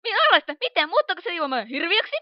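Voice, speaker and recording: Woman voicing a brash high-pitched, swooping young pony. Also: very animated, no room sound. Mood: eager curiosity. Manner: blunt, slangy, impulsive. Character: brash, excitable young female flying pony, impatient. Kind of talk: animated cartoon fan dub acting. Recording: dull lo-fi home recording.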